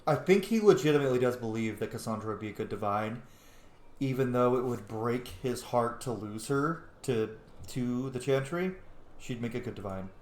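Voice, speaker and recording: Chatty guy streaming a game, playful, mid pitch, echoey room. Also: lively intonation, moderate pace, darkish tone, a little hiss or hum. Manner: chatty, self-correcting, playful. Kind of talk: casual gameplay commentary.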